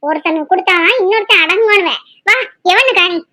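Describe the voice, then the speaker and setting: A woman's voice: extremely high pitch, fast, sharp tone, no echo, studio quality. A woman acting a feisty, protective village housewife in a drama, blunt and chatty. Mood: indignant.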